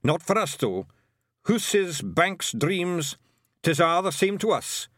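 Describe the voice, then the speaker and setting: A man voicing a tiny, cheerful, cocky Scottish fairy clan leader in an audiobook, with loud, emphatic dialect speech. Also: high-pitched, sing-song, slow pace, dry recording, studio quality, pitched above the performer's own voice, exaggerated voice. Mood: matter-of-fact, boastful. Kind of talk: audiobook character voice.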